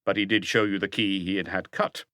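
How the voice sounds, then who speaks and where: Man playing a low-voiced, persistent police detective. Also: steady tone, fairly quick, sharp tone, dry recording, studio quality. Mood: accusing. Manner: pointed, pressing questioning. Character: probing, persistent male police detective, firm and unhurried.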